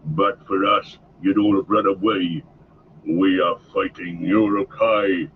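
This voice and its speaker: A man voicing a brutish, commanding male orc captain with a slow, deep growl. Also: steady tone. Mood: domineering. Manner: slow, gruff, domineering. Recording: dull lo-fi with faint hiss.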